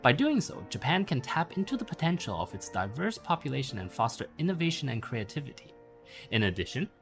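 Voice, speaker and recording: Guy narrating a scripted explainer, pitch moves around a lot, music playing under him. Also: gravelly. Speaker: narrator reading a bedtime-story style essay. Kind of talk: scripted narration read aloud.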